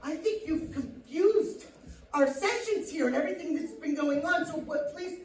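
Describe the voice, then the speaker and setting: Actress as flustered female therapist, very high voice, hesitant, placating, dramatic acting, echoey faintly noisy recording. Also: expressive, moderate pace, darkish tone. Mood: panicked, pleading. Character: tactful, flustered female psychologist placating clients. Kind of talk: emotional dramatic stage-style acting. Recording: echoey room from far off, faint noise.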